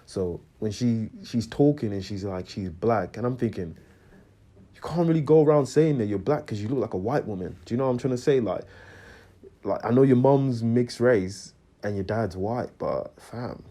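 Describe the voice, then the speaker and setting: A young man tells a personal story in an animated, slang-heavy rant. His voice has a mellow, dark tone. He keeps checking in with the listener as he talks. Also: fairly low pitch, normal speed. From Congo. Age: young adult. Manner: slangy, animated, repeats "you know what I'm trying to say". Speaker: vlogger.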